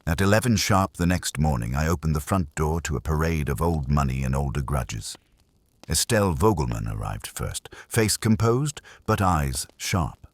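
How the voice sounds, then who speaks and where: A man's voice: a bass voice, dry recording. A man narrating a detective story in the first person, wry and dry-witted in a hard-boiled style.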